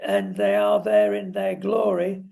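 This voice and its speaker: A talk show presenter with a gravelly, husky voice, giving wry, opinionated takes in conversational talk about current affairs. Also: mid-range voice, big pitch swings, measured pace, dark tone, rough recording.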